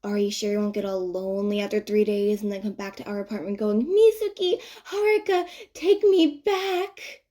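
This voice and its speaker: A woman's voice: mid-range voice, moderate pace, dark tone, natural voice. A woman voicing a melodramatic, teasing young college roommate with a whiny, pouting, clinging manner in an audiobook story. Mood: teasing, mock pleading.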